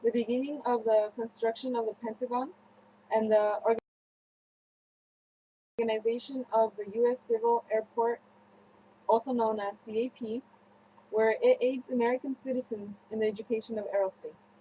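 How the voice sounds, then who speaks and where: A young woman presenting a scripted student newscast, reading haltingly with slips and self-corrections. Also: on the high side, steady tone, phone-quality sound, slight background noise. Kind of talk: scripted news-style narration for a history project.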